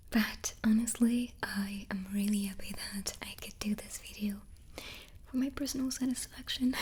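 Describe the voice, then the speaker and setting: A woman whispers the whole time in a soft, unvoiced ASMR voice, right up close to the microphone. She talks slowly and keeps her tone flat and steady, nibbling on cookies between answers. A faint hiss sits behind her. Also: mid-range voice. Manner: snacking on cookies while talking. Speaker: ASMR host.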